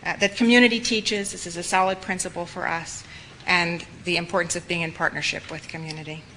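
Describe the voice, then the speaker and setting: Earnest, bright-voiced woman lecturing. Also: lowish voice, fairly even intonation, fairly quick, a little hiss or hum, poor audio. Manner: earnest, reflective, with emphatic repetition. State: slightly hoarse from a cold. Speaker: lecturer for medical students. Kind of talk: spoken lecture.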